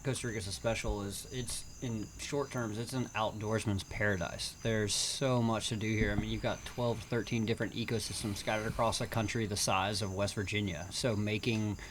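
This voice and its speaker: Low-voiced man explaining fluently. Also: steady tone, a little fast, hardly any pauses, slight background noise. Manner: fluent, informative. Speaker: guest. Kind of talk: casual explanation.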